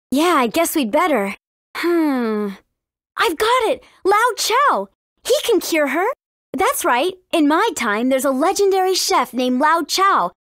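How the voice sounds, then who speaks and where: A woman playing a friendly, earnest, cautious teenage princess in a video game, with expressive delivery. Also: high voice, dry recording, clean studio sound. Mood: surprised, eager. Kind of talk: video game dialogue acting.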